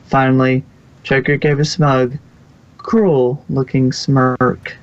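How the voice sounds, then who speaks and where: A man's voice: medium pitch, level tone, takes it slowly. A man reading fan fiction aloud as a scripted story narrator, sometimes stumbling and rereading words. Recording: slightly muffled recording.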